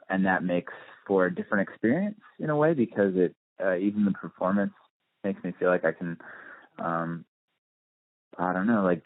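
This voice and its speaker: Deep-voiced man over a muffled phone line. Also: dry recording. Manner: thoughtful, hesitant, circling back to rephrase. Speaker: musician and DJ being interviewed. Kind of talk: casual phone interview. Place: on a phone call. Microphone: telephone.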